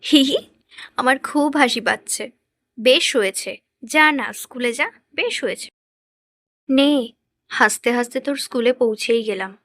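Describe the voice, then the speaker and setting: A bossy elder-sister girl from a cartoon , voiced by a woman. She nags and teases in a fairly high voice. The recording is dry and close. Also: normal speed, pauses often, a bit bright, dry recording. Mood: teasing. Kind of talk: Bengali cartoon voice acting.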